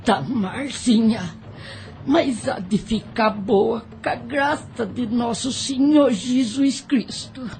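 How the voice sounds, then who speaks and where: A woman's voice: on the high side, slow pace, darkish tone, a little hiss or hum. A woman acting a meek, pious enslaved servant in an audio drama, humble and deferential. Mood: hopeful.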